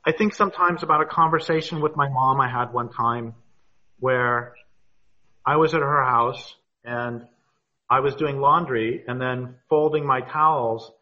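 A man's voice: medium pitch, fairly even intonation, unhurried. A man speaking thoughtfully as a panel guest on a video call, telling stories unhurriedly. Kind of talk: reflective talk on an online call. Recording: muffled video call audio.

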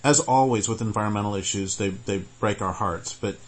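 A man from the American Midwest and Colorado asks long, rambling questions. His voice sits a little low, and he stops often to correct himself, with plenty of ums and likes. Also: a little fast, rough recording. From born in Nebraska, grew up in Colorado. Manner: rambling, self-correcting questions with many fillers. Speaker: interviewer. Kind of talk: interview questions.

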